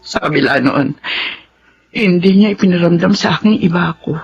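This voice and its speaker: A woman's voice: lowish voice, moderate pace, vocal fry, husky, lo-fi sound, faint music behind, full character voice. An actress with a Filipino accent playing a devoted, distraught middle-aged wife and mother in a radio drama. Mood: wistful narration. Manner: emotive, tearful, lingering delivery.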